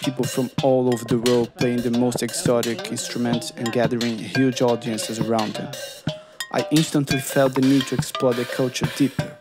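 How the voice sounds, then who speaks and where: A man narrates a documentary in a measured, earnest voice-over. His pitch sits in an ordinary middle range. Loud music plays underneath him throughout. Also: flat delivery. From Brazil. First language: Portuguese.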